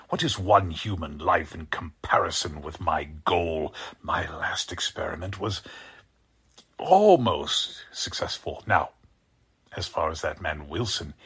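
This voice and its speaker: An actor playing a deranged, coldly polite mad scientist in 1940s radio drama style, speaking in a deliberate, menacingly formal manner with a husky, creaky voice. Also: male voice, low voice, a bit bright, full character voice.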